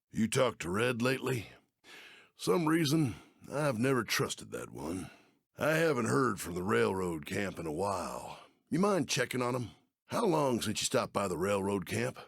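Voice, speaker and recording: Gravelly old Western sheriff. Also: male voice, on the low side, dry recording, clean studio sound, heavily acted. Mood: mildly concerned. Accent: American Western. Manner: folksy, drawling, neighborly phrasing. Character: folksy, aging, kindly but worried Old West sheriff. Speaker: mission-giving game character. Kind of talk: video game character acting.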